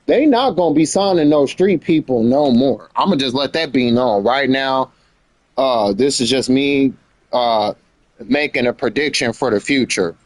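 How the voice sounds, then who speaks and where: Male American streamer, mid pitch, casual and slangy, repeats for emphasis, close dry recording. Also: animated, unhurried, no echo. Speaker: live streamer. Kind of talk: casual livestream commentary chat.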